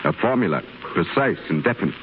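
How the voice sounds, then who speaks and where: A man playing an older male stonecutter who talks to a younger man in a gentle, fatherly, measured way. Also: sits low, big pitch swings. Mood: earnest. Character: older male stonecutter, kindly earnest mentor. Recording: tinny noisy 1930s radio broadcast.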